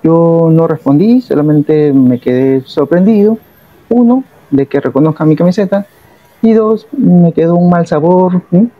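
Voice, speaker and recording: A man's voice: a little high, a little slow, no room sound. Peruvian man, slightly muffled recording. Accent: Peruvian Spanish. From Peru. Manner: reflective storytelling with frequent tag questions. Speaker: vlogger. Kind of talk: personal travel vlog, talking to camera.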